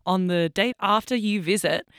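A woman's voice: mid-range voice, moderate pace, fairly bright tone, clear, resonant tone, no echo. A woman hosting a radio show from the studio, chatting in a friendly, encouraging way. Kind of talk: casual radio chat.

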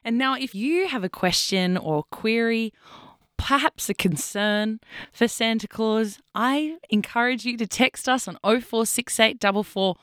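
A woman's voice: a little high, unhurried, fairly bright tone, smooth, no room sound. A woman hosting a radio show from the studio, chatting in a friendly, encouraging way. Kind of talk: casual radio chat.

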